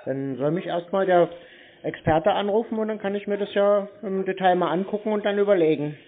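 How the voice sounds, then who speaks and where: A man with a slightly high voice talks casually over a thin, tinny phone connection. He is blunt and skeptical in how he answers. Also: brisk. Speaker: scam-baiting call recipient. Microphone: phone call.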